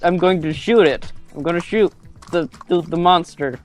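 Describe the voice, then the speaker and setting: A man's voice: high-pitched, normal speed, soft background music. A man playing a tabletop game, chatting casually in a hesitant way, often repeating his words. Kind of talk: casual chat during a game.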